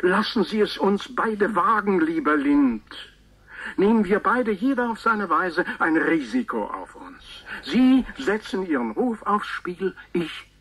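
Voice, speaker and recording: Solemn priest begging on a voice letter, raspy, a bit high, radio drama style. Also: male voice, very animated, unhurried, a bit bright, exaggerated voice. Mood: solemn pleading. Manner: solemn, beseeching. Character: fervent priest pleading on a recorded voice letter. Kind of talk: German radio play acting.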